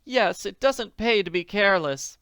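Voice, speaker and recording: A reader voicing an excitable woman in a storybook who keeps crying out in alarm. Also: mid-range voice, moderate pace, clean tone, no echo, studio quality, voice pushed up. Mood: reassuring. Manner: exclamatory, cries out. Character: excitable woman, the worried lady's friend.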